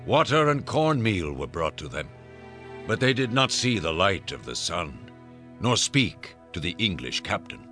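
Deep-voiced, solemn storyteller. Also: male voice, sing-song, a little slow, pushed and bright, soft background music, heavily acted. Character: solemn storyteller of his captive Native brothers. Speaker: narrator. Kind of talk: scripted radio drama narration.

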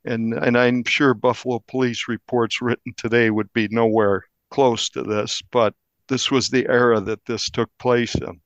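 A man speaking as a reporter in an interview, recounting things in a measured, descriptive, conversational way. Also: medium pitch, little pitch movement, no echo. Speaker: newspaper reporter, interview guest. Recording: dull, band-limited audio.

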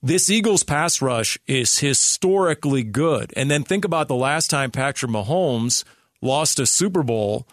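A man's voice: mid-range voice, expressive, measured pace, no room sound, studio quality. A man co-hosting a sports talk radio show, analysing in an emphatic way with rhetorical questions.

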